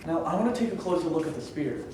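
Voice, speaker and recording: Man playing young team-leading archaeologist, analytical, careful, higher-pitched, reverberant room. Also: expressive, fast, noisy background, lightly acted. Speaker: actor. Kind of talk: staged play reading. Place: echoey room at a staged reading. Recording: very echoey room, distant mic.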